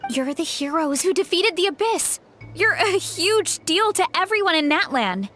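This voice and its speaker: A woman's voice: extremely high pitch, very animated, moderate pace, pushed and bright, faint music behind, heavily acted. An actress playing a cheerful, modest young woman warrior in an anime-style video game, with an earnest, bubbly delivery. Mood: excited, starstruck. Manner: earnest, bubbly, self-effacing.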